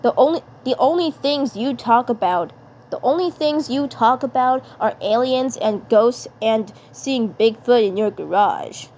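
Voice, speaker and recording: A woman's voice: fairly high pitch, animated, a little slow, darkish tone. Brash, scornful cartoon schoolboy, blunt and scolding, performed by a woman, slight background noise. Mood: exasperated.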